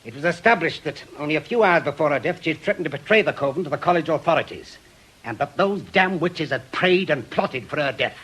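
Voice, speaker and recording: Husky, obsessive British ex-policeman. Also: fairly high pitch, expressive, brisk, fairly bright tone, low-quality recording. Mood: grim, insistent. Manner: brusque, scornful, insistent. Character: obsessive, cantankerous veteran ex-policeman and bereaved husband. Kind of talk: radio drama acting.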